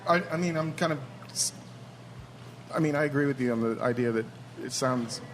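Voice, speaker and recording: A man's voice: medium pitch, brisk, a little hiss or hum. A man speaking earnestly with stammered restarts as a guest on a talk show.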